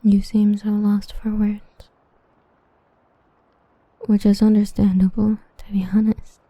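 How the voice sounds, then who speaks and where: A woman playing an obsessive, possessive female villain in an ASMR roleplay, breathy and intimate with menacing devotion. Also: medium pitch, little pitch movement, slow, warm tone, no echo, muffled recording. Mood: gentle explaining. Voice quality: breathy, whispery. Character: obsessive yandere female villain, tenderly possessive, murderously protective.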